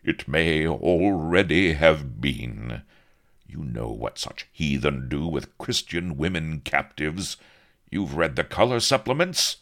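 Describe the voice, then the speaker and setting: A man's voice: very deep voice, expressive, takes it slowly, fairly bright tone, heavily acted. A man voicing a haughty, stiff-necked captain who speaks in pompous, archaic phrases, indignant and grand.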